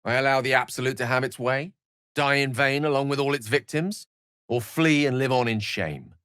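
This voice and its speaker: A man's voice: medium pitch, moderate pace, fairly bright tone, clean tone, no echo, clean studio sound, close to an everyday voice. A male actor playing an erudite, wry wizard in a fantasy video game, articulate and theatrical, with a British accent and a streak of melancholy. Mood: earnest, wry.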